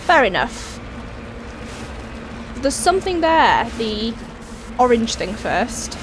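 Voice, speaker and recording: A woman with a cold and a raspy, hoarse voice, chatting casually as she plays a video game and thinks aloud. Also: fairly high pitch, slow. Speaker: let's play host. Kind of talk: casual gameplay commentary. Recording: voice over steady game engine noise.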